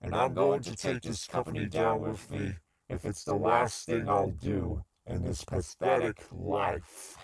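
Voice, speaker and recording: A man playing a vengeful, misanthropic scientist turned half-machine, delivering a deliberate, menacing log in an audio drama. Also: on the low side, gravelly, a loud crowd. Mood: bitter, vengeful.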